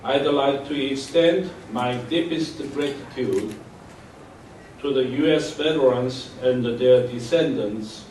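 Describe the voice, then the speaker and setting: A man's voice: medium pitch, slow pace, darkish tone, slight background noise. A man giving a formal speech as a government envoy, with frequent hesitations. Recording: echoey hall recorded from far off.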